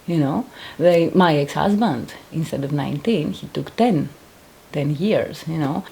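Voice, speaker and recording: Low gravelly Greek-accented woman. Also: a little slow, dark tone, a little hiss or hum. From Greece. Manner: earnest, searching for words with many restarts. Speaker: painter and survivor giving testimony. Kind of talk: personal testimony interview.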